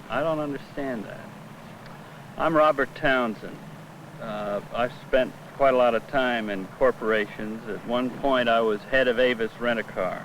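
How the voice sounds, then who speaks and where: A man's voice: mid-range voice, noisy background. An American man, a former executive, explaining things in a blunt, plain-spoken way on an old film soundtrack. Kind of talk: candid explanation to camera.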